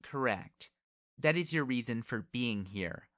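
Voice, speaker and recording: A man's voice: mid-range voice, expressive, measured pace, fairly bright tone, dry recording, clean studio sound. A man voicing a proud, cold young noblewoman who speaks formally and with condescension in an audiobook. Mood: imperious, probing.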